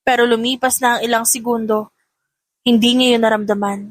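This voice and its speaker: A female audiobook narrator with a fairly high voice reads scripted drama. The recording is dry and close, with no room echo. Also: fairly even intonation, fairly quick.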